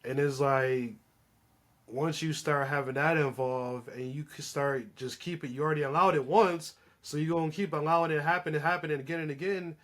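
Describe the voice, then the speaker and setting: A man's voice: a little high, steady tone, normal speed, a bit bright. A man in a casual, opinionated podcast chat, speaking in an emphatic, slangy way.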